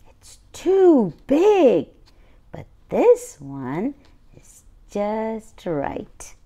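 Woman as a wide-eyed happy baby, picture book, exclaiming, slow, mellow. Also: a little high, wildly swooping pitch, heavily acted. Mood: eager. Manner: exclaiming, childlike simple phrases.

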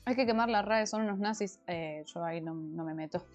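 A woman's voice: lowish voice, fairly quick, faint music behind. A woman from Argentina with a Rioplatense accent, a former language teacher who chats casually with her chat on a crafting stream. She sounds a little congested from allergies. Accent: Rioplatense Argentine Spanish. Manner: chatty, explains at length, answers chat. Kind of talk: casual livestream chat reading comments while crafting.

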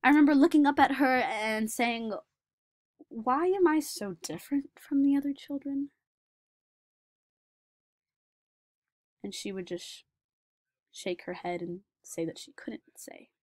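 A woman playing a shy, socially awkward male savant in a dramatic monologue, halting and repeating words. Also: high-pitched, lively intonation, a little mellow, no room sound. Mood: lonely, hushed. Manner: halting, repeating words, swinging from whispers to nervous outbursts. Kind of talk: dramatic monologue for a speech competition.

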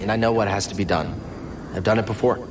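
An actor playing a young Jedi hero who talks in an earnest, guarded, low-key way. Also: male voice, quick pace, noisy background, lightly acted. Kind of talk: video game cutscene acting.

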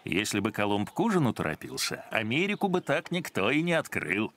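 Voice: medium pitch, big pitch swings, a little fast, fairly bright tone. An actor voicing a brainy, curious, wry companion in a children's cartoon, with a bookish, musing manner. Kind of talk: animated children's cartoon acting. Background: music.

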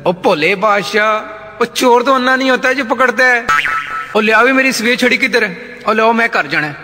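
An actor with a Punjabi accent playing a jovial, shameless, blind village politician in a satirical comedy sketch, folksy and teasing. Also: male voice, extremely high pitch, normal speed, bright, forceful tone, soft background music. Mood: teasing, cynical. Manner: folksy, teasing, peppered with interjections. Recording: long hall reverb.

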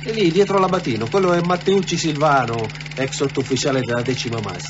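A man's voice: on the high side, a little fast, natural voice. A man playing an officious, matter-of-fact police cameraman explaining things in a radio drama. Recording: muffled, with loud machine hum.

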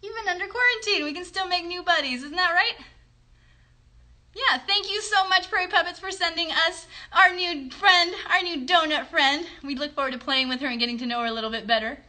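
A woman's voice: very high-pitched, fairly quick, sharp tone. A woman in her thirties hosting a chatty children's livestream in an encouraging, child-directed way, recorded on a phone in a bedroom. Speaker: host, puppeteer. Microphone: phone at arm's length.

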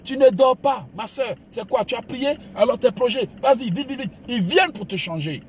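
A man's voice: very high voice, animated, normal speed, slight background noise. A man preaching a motivational sermon as pastor, with an emphatic, exhorting manner. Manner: emphatic preacher, calls listeners "bien aimé", mixes exhortation with laughs.

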